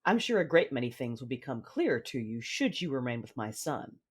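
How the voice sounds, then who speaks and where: An actress playing a cold, commanding Sith mother who narrates her own story in a measured, menacing, controlled manner. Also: very deep voice, big pitch swings, breathy edge, heavily acted. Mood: calculating, controlled. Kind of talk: first-person audio drama narration with dialogue. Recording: music under the voice.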